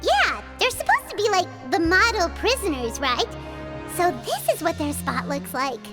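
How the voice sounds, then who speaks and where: A woman's voice: extremely high pitch, big pitch swings, normal speed. A woman voicing a tiny, chirpy, childlike fairy companion in a video game, curious, blunt and exclamatory. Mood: puzzled. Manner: refers to self in third person, exclamatory. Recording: music behind the voice.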